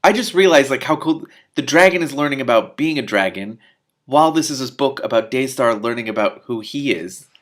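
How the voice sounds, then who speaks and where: A man's voice: mid-range voice, brisk. A man reading a fantasy novel aloud expressively, with casual commentary of his own. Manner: expressive, reacting casually to the story. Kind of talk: animated read-aloud with off-the-cuff commentary.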